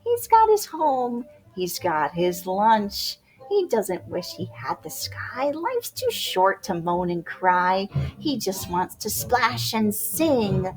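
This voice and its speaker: A woman reading a rhyming children's picture book aloud in a playful, expressive way, drawing out words for dramatic emphasis. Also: medium pitch, slow, hardly any pauses. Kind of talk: animated rhyming storybook read-aloud for young children. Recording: music behind the voice. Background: music.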